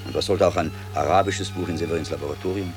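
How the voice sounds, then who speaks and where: Guy acting deep voiced medieval monk sleuth, thinks out loud, gets exasperated, radio play w music behind. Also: close to an everyday voice. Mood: pondering, brisk. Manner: deliberate reasoning aloud, given to exasperated outbursts. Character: sharp-minded medieval monk scholar, impatient master and investigator. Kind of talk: German radio drama acting.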